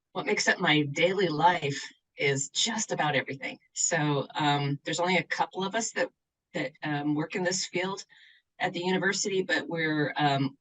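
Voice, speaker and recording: A woman's voice: low voice, a bit bright, rough recording. A woman from the Seattle area who works as a university accessibility coordinator, answering interview questions in an earnest, reflective way with some fillers.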